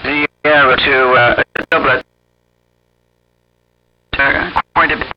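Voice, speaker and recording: A man chatting casually as an amateur radio operator, reflective and halting, over a shortwave radio transmission. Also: medium pitch, fairly even intonation. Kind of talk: rambling casual radio chat. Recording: muffled shortwave radio with loud static.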